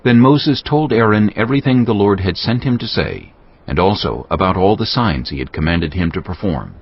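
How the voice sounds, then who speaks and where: Casting: man to narrate scripture, deep and husky with expressive melody, slightly dull recording. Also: moderate pace. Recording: muffled, lo-fi.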